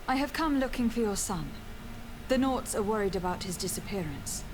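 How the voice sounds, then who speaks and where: A woman's voice: mid-range voice, animated, normal speed, close to an everyday voice. An actress playing a composed, principled noblewoman legate in a video game, speaking with formal, firm courtesy. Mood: courteous. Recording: clear background noise from game ambience.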